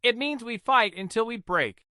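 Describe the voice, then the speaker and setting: A man's voice: very high voice, expressive, moderate pace, bright, forceful tone, no echo, clean studio sound, voice pushed up, full character voice. A man voicing a determined blind mother in a dramatised folktale, solemn and emphatic. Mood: solemn resolve. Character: determined blind mother, Nigerian woman, proud and defiant.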